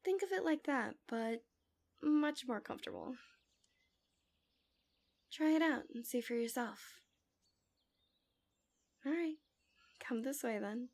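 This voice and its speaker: A woman playing a manipulative villainess in an ASMR roleplay, tenderly soothing her captive in a gentle, coaxing, breathy voice that slips into whispers. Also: high voice, animated, slow pace, long pauses, exaggerated voice. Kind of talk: ASMR roleplay, intimate soft speech slipping into whispers.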